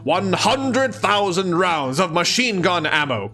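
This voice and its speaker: A man's voice: sits high, slow. A man hosting a let's-read, reading aloud expressively with casual, giggly commentary. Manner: giggly, expressive, dramatic reading mixed with chatty asides. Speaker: host of a live let's-read of a webcomic.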